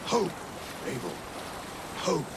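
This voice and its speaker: An actor playing a curious, earnest Viking warrior who tells a story in a musing, deliberate way in a video game. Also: male voice, lightly acted. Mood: reflective, wondering. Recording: water and boat noise behind. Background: lapping water, boat ambience.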